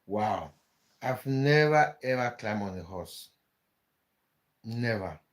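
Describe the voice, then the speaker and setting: A man's voice: on the low side, animated, takes it slowly. A man from Cameroon, hosting a casual live stream, chatting with viewers and often repeating words as he thinks aloud. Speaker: livestream host.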